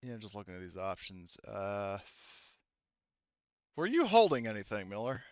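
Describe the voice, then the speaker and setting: A man running an online tabletop roleplaying game as game master, thinking aloud in a halting way over a headset mic. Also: on the low side, big pitch swings, a little mellow, no room sound, highs cut off. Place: online voice session.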